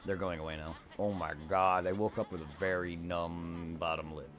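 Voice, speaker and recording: Quiet guy on a game stream, kinda low voice, rambles and restarts a lot, laughs easy. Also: flat delivery, moderate pace. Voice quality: quiet normal voice. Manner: rambling, self-correcting, laughs easily. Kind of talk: casual chat during a game stream. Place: home streaming setup.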